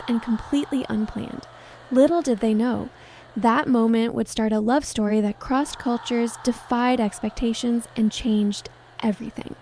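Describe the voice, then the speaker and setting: A woman's voice: a little high, mellow, a little hiss or hum. A woman narrating a scripted dramatic story.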